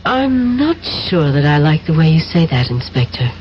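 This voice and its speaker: Dull-sounding radio drama femme fatale. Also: female voice, deep voice, sing-song, normal speed. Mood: firm, cool. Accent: American. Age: young adult. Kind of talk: 1970s radio drama acting. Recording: dull old radio recording, faint noise.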